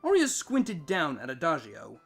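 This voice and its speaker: Male narrator, high voice, very varied intonation, expressive scripted storytelling, music underneath. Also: a little slow, creaky, slightly rough. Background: background music.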